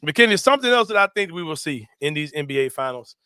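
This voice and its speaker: A young man hosting a casual sports talk show, animated and slangy and quick to laugh. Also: fairly high pitch, smooth, no echo, clean studio sound.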